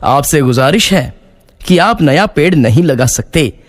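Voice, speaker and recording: Man, children's story narrator, animated intonation, slightly high voice, faint music behind. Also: unhurried, no room sound, clean studio sound.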